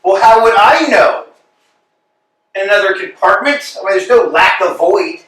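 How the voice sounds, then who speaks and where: A man plays a worn-out tramp who grumbles and sulks, his voice pitched high for a man. It sounds roomy and distant, as if caught from across an echoing space. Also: animated, moderate pace, a bit bright. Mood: weary. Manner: tired, dry and grumbling. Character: Estragon, a weary, grumbling male tramp. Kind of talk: staged play reading. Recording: echoey room from far off.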